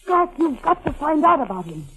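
Scheming sweetheart of a fugitive, shrewd, insistent, fairly high voice, 1940s radio drama. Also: female voice, very animated, moderate pace, a bit bright, heavily acted, poor audio. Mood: urgent, persuasive. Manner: insistent, controlling, urgent. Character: shrewd, persuasive woman steering her fugitive lover. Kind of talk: 1940s radio mystery acting.

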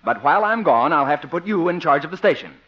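An actor playing a prissy, sour, condescending boss with a fussy, curt manner in a 1950s radio comedy. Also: male voice, a little high, expressive, quick pace, close to an everyday voice. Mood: scornful. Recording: noisy, dull 1950s radio recording.

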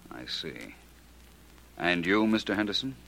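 An actor playing a cool, courteous private detective with authority and a polished, measured way of questioning, in 1940s radio drama style. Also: male voice, deep voice, big pitch swings, vocal fry, pushed and bright, heavily acted. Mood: probing, cool. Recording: noisy vintage 1940s radio recording.

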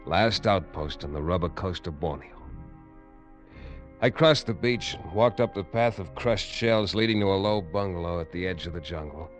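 An actor playing a tough, assured American manhunter, terse and understated, in radio drama narration and dialogue. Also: male voice, low-pitched.

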